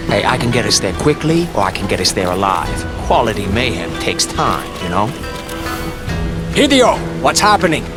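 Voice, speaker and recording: Cocky wisecracking merc from a video game, super sarcastic, music behind him. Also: male voice, on the low side, very animated, normal speed. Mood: urgent. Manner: sarcastic, flippant. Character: wisecracking cocky male mercenary hero. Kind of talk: video game cutscene acting.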